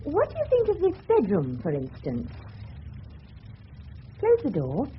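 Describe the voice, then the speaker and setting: A woman's voice: sits high, huge pitch swings, measured pace, heavily acted. An actress playing a gushing, coaxing suburban married woman with a genteel English accent in a radio drama. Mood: gushing, chatty. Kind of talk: 1970s radio drama acting. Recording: dull noisy old radio recording.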